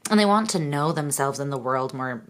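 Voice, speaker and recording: Very low-voiced hoarse woman reading tarot. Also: lively intonation, a little fast, a bit bright. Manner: keeps clearing her throat, meandering with fillers. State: hoarse, losing her voice. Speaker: tarot reader. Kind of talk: casual tarot reading to viewers.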